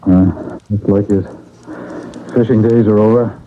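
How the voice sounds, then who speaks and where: A man's voice: lowish voice, steady tone, a little slow, close to an everyday voice. An actor playing an earnest, cautious male detective sidekick in an old-time radio drama. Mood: concerned. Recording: narrow, noisy vintage radio recording.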